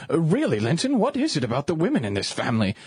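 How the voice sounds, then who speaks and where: An actor playing a bluff, genial English lord with a husky voice in a period radio drama. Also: male voice, fairly high pitch, huge pitch swings, quick pace, darkish tone, dry recording, full character voice. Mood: jovial.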